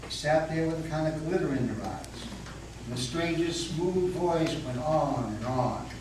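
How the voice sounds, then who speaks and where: Casting: male narrator, high-pitched, folksy, reading to be heard in a big echoing room, noisy distant recording. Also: animated, measured pace. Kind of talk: dramatic reading of a story. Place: meeting house hall. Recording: echoey hall from far off, noisy.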